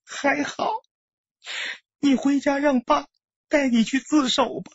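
A woman's voice: high voice, steady tone, slow, no echo, highs cut off. A woman playing a caring, earnest older sister who pleads with and reassures her brother, in audiobook style. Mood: tearful urging. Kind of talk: audiobook character acting.

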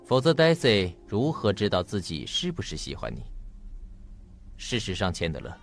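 Low, animated middle-aged father. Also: moderate pace, gravelly, faint music behind, heavily acted. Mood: reassuring, fatherly. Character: paternal, uneasy middle-aged husband and father. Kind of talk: radio drama acting.

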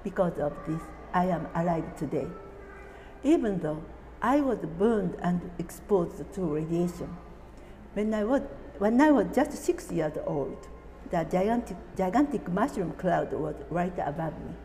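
Elderly Japanese-native woman reading slowly. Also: lowish voice, lively intonation, warm tone, in a large hall, slight background noise, poor audio. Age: 80s. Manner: slow, careful, deliberate reading from notes. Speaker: atomic bombing survivor. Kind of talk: reading a prepared testimony. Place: indoor room, seated at a table.

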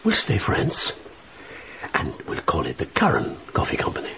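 An actor playing a breezy, feckless Swedish baron and husband in a radio drama. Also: male voice, sits low, wildly swooping pitch, normal speed, sharp tone, a little hiss or hum, heavily acted. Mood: cajoling.

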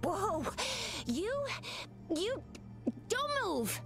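A woman's voice: very high voice, sing-song, extremely slow, gravelly, music in the background, full character voice. An actress playing a hot-headed young woman fighter who barks orders. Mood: angry.

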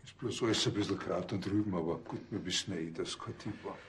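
An actor playing a blunt, cheeky police officer with a Bavarian accent and colloquial speech. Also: male voice, low voice, quick pace, a little hiss or hum, natural voice. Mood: casual.

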